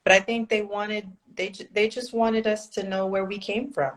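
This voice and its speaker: A woman's voice: mid-range voice, flat delivery, moderate pace. A woman from South Florida co-hosting a casual podcast, speaking thoughtfully and laughing easily. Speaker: podcast co-host.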